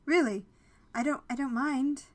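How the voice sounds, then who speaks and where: A woman's voice: high-pitched, measured pace, a little mellow. A woman playing a reserved, awkward executive, polite and hesitant, in an audio story. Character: reserved, awkward woman CEO hiding her identity. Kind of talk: audio fiction character dialogue. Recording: music under the voice.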